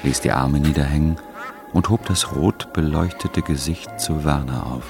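A solemn male storyteller with an extremely low, creaky voice. He gives measured literary narration for a radio drama, with music playing behind him. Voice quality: gravelly.

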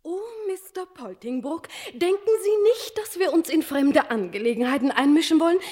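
Gushing, high, swooping do-gooder lady. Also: animated, normal speed, darkish tone. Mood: gushing cheer. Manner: gushing, patronising. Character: gushing, relentlessly cheerful, patronising do-gooder society lady. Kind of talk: German radio crime comedy acting.

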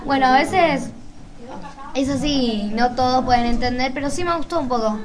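A woman's voice: high voice, normal speed, a crowd in the background. A woman with an Argentine accent co-hosting a casual radio chat, hesitantly searching for words. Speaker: radio show co-host.

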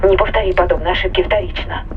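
An actress playing a wary, insistent spaceship crew member in an audio drama, earnest and analytical, heard through a radio intercom filter. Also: female voice, lowish voice, fairly even intonation, fast talker, muffled recording, noisy background. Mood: urgent warning. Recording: band-limited radio voice over music.

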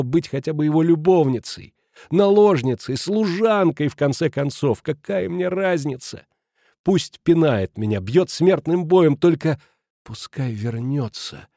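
A man's voice: on the high side, normal speed, gravelly, mellow, dry recording, clean studio sound. A man voicing a desperate, abjectly devoted woman in love, pleading emotionally in an audiobook.

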